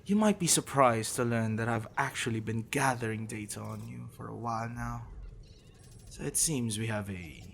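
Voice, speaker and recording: A man playing a possessive, sadistic professor in an ASMR roleplay, condescending and teasing, slipping into intimate whispers, with a low gravelly voice. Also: a bit bright, slight background noise. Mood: smug, clinical. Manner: condescending, teasing, deliberate, dropping into breathy whispers. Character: possessive sadistic male professor, obsessive, teasing, smugly intellectual.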